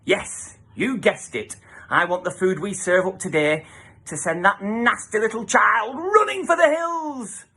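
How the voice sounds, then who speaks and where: A man's voice: high voice, animated, a little slow, pushed and bright, breathy edge, pitched above the performer's own voice, exaggerated voice. A man voicing an imperious, vengeful dinner lady, a scornful villain in a children's storybook. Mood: gloating, scheming. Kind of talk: storybook character voice.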